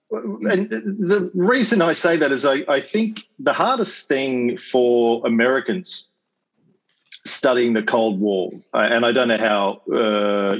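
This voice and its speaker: A man with an Australian accent giving a casual lecture over a video call as a podcast host, chatty and full of ums and uhs, with wry asides. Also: mid-range voice. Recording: slightly dull video-call audio.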